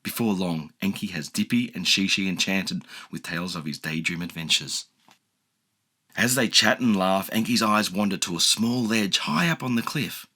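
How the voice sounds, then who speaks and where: A man narrating a children's audiobook in a gentle, expressive storytelling manner. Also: low voice, normal speed. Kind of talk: children's audiobook read-aloud.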